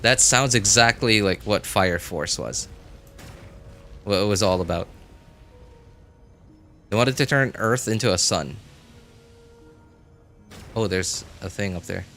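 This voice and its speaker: A man's voice: fairly low pitch, slow, pauses often, sharp tone. A man who is a game streamer, giving casual live commentary and thinking aloud in a rambling way. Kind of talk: casual livestream gaming commentary. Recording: music behind the voice.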